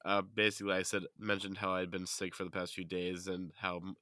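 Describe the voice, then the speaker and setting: Man, nasal and stuffy, bright tone, casual joking chat. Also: low-pitched, monotone, a little fast, no room sound, clean studio sound. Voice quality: congested, nasal. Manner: joking, laughs often. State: stuffy nose. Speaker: podcast co-host.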